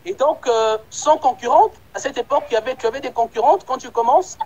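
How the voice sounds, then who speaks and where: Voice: high-pitched, animated, brisk, a little mellow, lo-fi sound. An interviewer who hosts a casual chat, probing the guest and recapping each of her answers. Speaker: interviewer, host.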